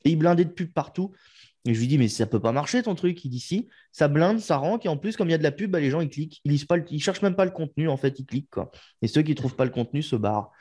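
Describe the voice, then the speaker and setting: A man hosting a podcast in a relaxed, chatty way, often trailing off with filler words. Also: on the high side, fast, breathy edge. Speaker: podcast host. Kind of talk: casual interview chat.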